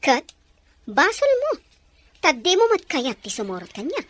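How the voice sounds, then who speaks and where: Woman acting headstrong mother, very high pitch, highly animated, dramatic delivery, radio drama. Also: unhurried, exaggerated voice. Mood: defiant, haughty. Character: spendthrift, headstrong mother with failing eyesight.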